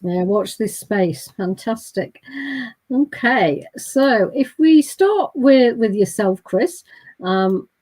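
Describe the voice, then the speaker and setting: A woman hosting a live online panel, friendly and brisk as she prompts her guests. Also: medium pitch, animated, a little mellow. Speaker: host. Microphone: video call.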